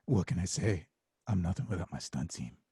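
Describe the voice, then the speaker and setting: A man's voice: low voice, expressive, fairly quick, dark tone, slightly rough, no room sound, studio quality, exaggerated voice. A man playing a cocky young action star villain in a table read, with a smug, laid-back bro drawl. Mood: smug, casual. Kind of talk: table read of a comedy film script.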